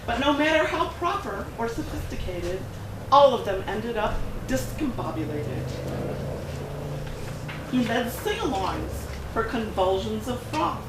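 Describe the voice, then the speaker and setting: A woman author giving a dramatic, expressive read-aloud of a rhyming picture book at a gallery event. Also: fairly high pitch, measured pace. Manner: expressive rhyming delivery with bouncy emphasis. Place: art gallery event room. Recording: echoey room, distant, noisy.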